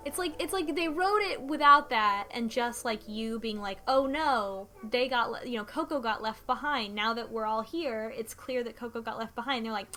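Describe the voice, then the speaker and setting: A woman co-hosting a casual chat, retelling a story informally and haltingly, acting out bits of dialogue. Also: fairly high pitch, sharp tone. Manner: informal, halting retelling with quoted dialogue in her own words. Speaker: podcast co-host. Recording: music playing behind the voice. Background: music.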